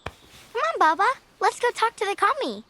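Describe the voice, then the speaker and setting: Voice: very high voice, very animated, normal speed, bright, forceful tone, exaggerated voice. A performer voicing a cheerful, chatty little girl in a motion comic, with simple, eager childlike phrasing.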